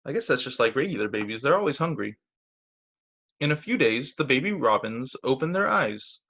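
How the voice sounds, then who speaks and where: A man teaching young children through a read-aloud storytime on a video call, with expressive, deliberate phrasing. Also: medium pitch. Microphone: video call microphone.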